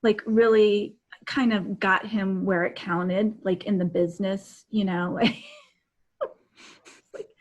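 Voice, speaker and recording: A woman co-hosting a podcast in a casual book chat over a video call, effusive, halting and repeating herself. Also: mid-range voice, level tone, dull audio. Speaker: podcast co-host. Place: indoors in a home room, on a video call. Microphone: video call microphone.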